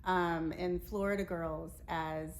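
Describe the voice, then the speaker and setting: A woman's voice: fairly low pitch, fairly even intonation, slow. A woman hosting a casual interview in an encouraging, affirming way. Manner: encouraging, often affirming the guest. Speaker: interview host. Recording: echoey room with faint noise.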